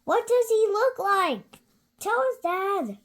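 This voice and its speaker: Storybook monster girl, acted by a woman: very high, swooping, brave and full of wide-eyed exclamations. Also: sing-song, slow, fairly bright tone, exaggerated voice. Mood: curious, eager. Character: brave, excitable young girl monster child.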